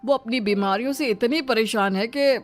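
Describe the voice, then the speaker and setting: A woman voicing a calm, placating elderly father-in-law in a cartoon moral story, speaking in a measured, soothing way. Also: fairly high pitch, natural voice. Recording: music behind the voice.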